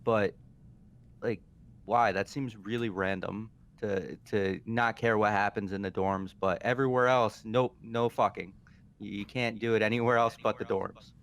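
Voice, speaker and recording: A man's voice: on the low side, steady tone, moderate pace, a bit bright. Wry, blunt man chatting about a game. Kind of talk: casual gaming chat.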